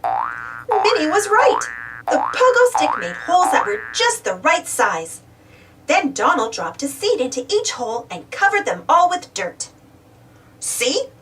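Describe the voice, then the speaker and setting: High, very animated woman storyteller. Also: a little slow, creaky, noisy background. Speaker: storybook reader. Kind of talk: animated children's read-aloud narration. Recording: slightly distant mic, music bed. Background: music.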